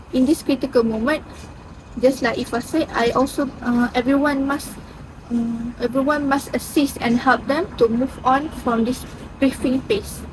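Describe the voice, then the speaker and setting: A young woman student. She gives a prepared personal reflection, with clear noise behind her. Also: high voice. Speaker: student performer.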